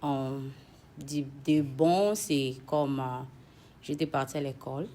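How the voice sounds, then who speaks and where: A gospel singer with a Congolese French accent and a very low, dark voice speaks easily in a TV interview. She mixes French with Lingala and sounds relaxed as she answers. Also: slow pace, slight background noise. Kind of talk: TV talk show interview.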